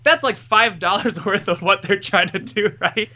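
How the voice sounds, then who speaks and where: A man's voice: high-pitched, normal speed, sharp tone. A man co-hosting a podcast in casual chat, joking and rambling, often cutting himself off, wearing headphones. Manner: joking, rambling, self-interrupting. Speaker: podcast co-host. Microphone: headphones with mic.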